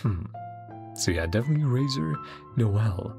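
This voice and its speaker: A man's voice: medium pitch, expressive, normal speed, gravelly. A man casually reacting to a game, chatty, laughing often and reading lines aloud. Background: game music and dialogue.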